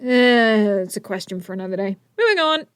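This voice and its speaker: A woman's voice: medium pitch, animated, moderate pace, a bit bright, clean tone, no room sound, clean studio sound, close to an everyday voice. A woman playing a confident, flippant teenage inventor superhero in an audio drama, breezy and wry. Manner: breezy, self-assured, wry.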